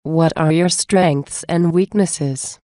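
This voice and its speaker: Slow, low-voiced woman reading phrases. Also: dark tone, no echo, studio quality. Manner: measured, repeats each phrase slowly. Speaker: English teacher reading example phrases.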